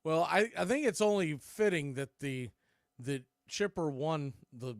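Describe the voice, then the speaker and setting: A man's voice: a little high, unhurried, no echo, studio quality. A man doing live baseball play-by-play as announcer and host, conversational and opinionated.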